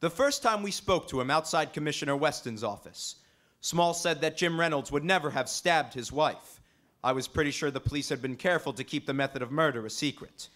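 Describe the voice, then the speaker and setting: A man acting a composed amateur detective in an old-time radio drama, measured and explanatory. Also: fairly high pitch, a bit bright, lightly acted. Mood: assured, serious. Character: composed male amateur detective, assured and reasoning.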